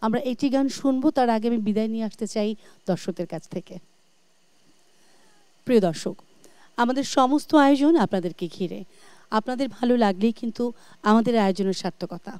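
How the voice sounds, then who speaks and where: A woman's voice: a little high, fairly quick, pauses often, a little mellow, clear, resonant tone, dry recording. A Bangladeshi woman hosting a music show, speaking graciously and effusively to her guest and viewers. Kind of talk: presenting, chatting with guest and viewers. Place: TV studio.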